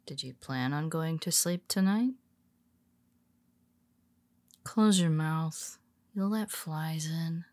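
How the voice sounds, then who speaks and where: A woman playing a weary, teasing female superhero who speaks softly to her nemesis, often dropping to a whisper. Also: low-pitched, slow, no echo. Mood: wry teasing. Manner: teasing, soft-spoken, slips into whispers. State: tired. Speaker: audio roleplay performer. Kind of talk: intimate audio roleplay.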